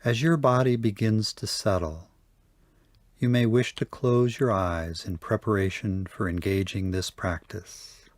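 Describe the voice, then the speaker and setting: Slow, calm man guiding meditation. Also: lowish voice, dry recording. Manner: slow, soft, calm guiding with long pauses. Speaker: meditation teacher. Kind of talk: guided meditation.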